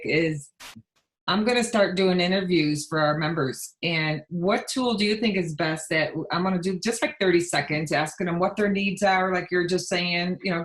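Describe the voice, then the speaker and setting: Woman, low-ish pitch, webinar attendee on a video call, upbeat asker. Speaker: webinar attendee asking a question. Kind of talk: casual question on a video call.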